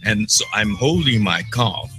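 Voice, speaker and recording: An older man in casual chat, speaking haltingly with many restarts while he is losing his voice. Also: lowish voice, expressive, sharp tone, faint music behind. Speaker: actor guest.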